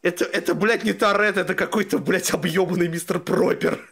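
A man's voice: sits high, fairly even intonation, a little fast, creaky, fairly bright tone, breathy edge. A young man streaming a reaction video from a small home room on a headset, joking crudely and reading out donations. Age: young adult. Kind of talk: casual reaction commentary.

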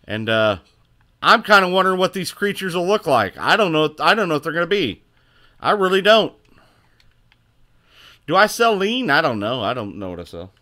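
A man streaming live gameplay, talking loud and excitably, with a booming voice, cursing at the game and answering chat. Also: moderate pace, pauses often. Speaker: gaming streamer.

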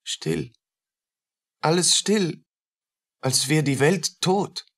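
Radio play: paranoid, downtrodden soldier, slow halting delivery, husky tone. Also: male voice, on the high side, fairly bright tone, full character voice. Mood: haunted, fearful. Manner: halting, fragmented phrases. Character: haunted, paranoid poor soldier, humble to superiors.

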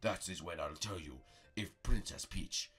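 A man's voice: low-pitched, a bit bright, voice pushed down. A man voicing a solemn, self-important mystical guide in an improvised toy story. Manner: pompous, slow proclaiming.

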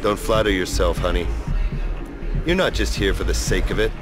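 A man's voice: deep voice, expressive, normal speed, over music. An actor playing a cynical, hard-drinking space prospector in a video game, with a sardonic, world-weary tough-guy manner. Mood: dismissive. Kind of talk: video game cutscene acting.

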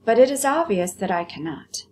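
Woman as a male toy rabbit, proud, weary, aloof, terse, storybook reading, medium pitch. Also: expressive, moderate pace, a little mellow, a little hiss or hum, natural voice.

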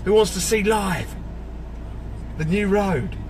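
A man's voice: sits high, animated, slow pace. A man chats casually and jokingly to his followers, often mock-serious, while driving a van. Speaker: vlogger livestreaming to followers. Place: inside a moving van. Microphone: phone mounted on dashboard. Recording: loud road noise inside moving vehicle.

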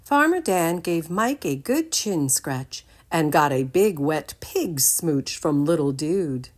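An older woman giving an expressive read-aloud storytime for young children, in a deliberate, animated manner. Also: low voice. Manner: deliberate, animated, addresses the listeners with questions.

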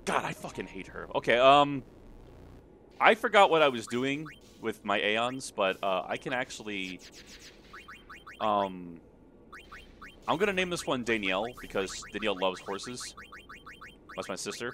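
Male let's player, crude and excitable, bright animated delivery, faint game sound behind. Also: lowish voice, unhurried, pauses often, a little hiss or hum. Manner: crude, sweary, excitable reactions. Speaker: let's play host. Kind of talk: casual gameplay commentary. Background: video game audio.

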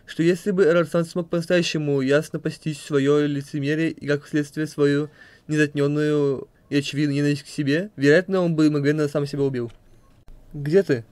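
A man's voice: fairly high pitch, steady tone, moderate pace, a little mellow, no room sound. A man reading questions and explanations aloud as narrator of an amateur comic dub.

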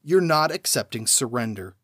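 A man hosting a trivia podcast, reading numbered questions and then giving each answer after a pause, in a measured way. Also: medium pitch, lively intonation, a bit bright, no echo, studio quality.

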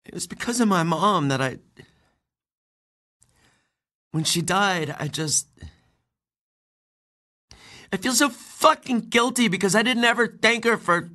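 An actor playing an earnest, anxious transgender teenage girl in an audio play, speaking in halting, youthful phrases. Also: mid-range voice, sharp tone. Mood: pleading. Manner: hesitant, halting, youthful phrasing with likes and ums. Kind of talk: naturalistic audio play acting.

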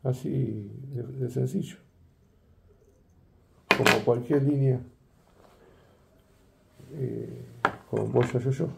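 A man's voice: medium pitch, fairly even intonation, drawn-out words, creaky, pauses often, echoey room, very noisy. An older man, teaching a fishing rig setup in a chatty tutorial and often asking his viewers "¿eh?" and "¿ves?". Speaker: fishing instructor. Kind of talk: hands-on tutorial demonstration. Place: indoor workroom at a table.

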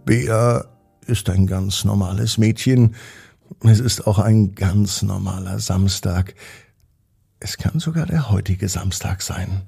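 A man with a German accent narrating a bedtime story for children in a calm, gentle way, speaking straight to the young listeners. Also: deep voice, slow pace, creaky, husky.

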